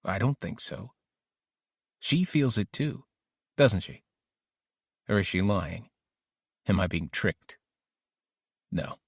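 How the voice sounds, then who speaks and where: A man's voice: lowish voice, expressive, takes it slowly, pauses often, slightly rough, no echo, studio quality, full character voice. A man narrating an audiobook diary as an ambitious, lovesick teenage boy, introspective and intense. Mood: lovesick, searching. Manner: introspective, self-questioning, intense.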